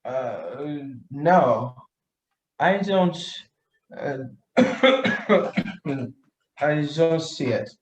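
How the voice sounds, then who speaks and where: A woman acting a drunken, trusting nobleman in a radio play, stammering and halting, with coughs and weak laughs breaking up the words. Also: low-pitched, lively intonation, highs cut off, full character voice. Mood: confused, drunk. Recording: roomy, echoing sound.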